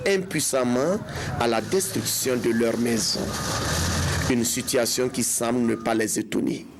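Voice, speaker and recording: A man's voice: mid-range voice, measured pace. A man narrating a scripted news report. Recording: clear outdoor vehicle noise behind.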